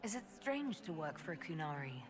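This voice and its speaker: A woman's voice: sits low, big pitch swings, fairly quick, background noise. An actress playing a curious, direct female leader in a fantasy video game cutscene. She asks short, direct questions. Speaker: player character, leader of an order.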